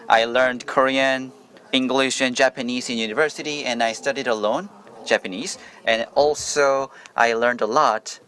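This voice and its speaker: A man interprets a conference talk into English on the fly. His voice sits at a middling pitch, and his delivery halts and restarts as he catches up with the speaker. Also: a bit bright. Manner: halting, restarts phrases mid-sentence. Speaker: interpreter voicing a journalist's speech. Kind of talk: simultaneous interpretation of a forum presentation.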